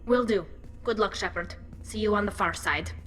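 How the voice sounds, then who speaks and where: A woman voicing a composed, firm alien team leader in a video game, speaking in a measured, authoritative way. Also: medium pitch, a bit bright, faint music behind, natural voice. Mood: firm, commanding.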